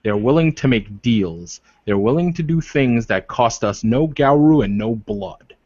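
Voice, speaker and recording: A man's voice: mid-range voice, expressive, darkish tone. A man playing a protective, proud werewolf warrior leader in a tabletop game, speaking in emphatic declarations. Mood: grave, forceful. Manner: slow, emphatic, declarative speech. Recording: roomy, dull lo-fi microphone.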